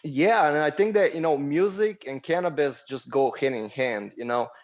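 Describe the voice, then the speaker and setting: A man's voice: a little high, moderate pace, muffled recording. A man from Bulgaria, a podcast guest talking easily with lots of "you know.". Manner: easygoing, many "um" and "you know" fillers. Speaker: guest, cannabis business co-founder. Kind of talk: casual interview chat.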